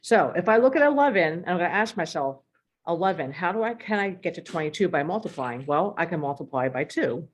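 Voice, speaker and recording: Low-voiced woman teaching, voice going hoarse. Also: animated, brisk. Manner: clears throat often, talks through examples step by step. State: losing her voice. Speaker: math teacher. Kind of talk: recorded math lesson.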